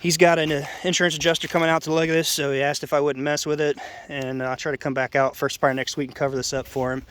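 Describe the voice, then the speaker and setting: A man's voice: a little high, level tone, quick pace, a bit bright, a little hiss or hum. A man with a congested, nasal voice giving an informal, unscripted hands-on explanation as a roofer, in a plainspoken, rambling way. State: congested nose. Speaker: roofer presenting his own video. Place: outdoors on a house roof.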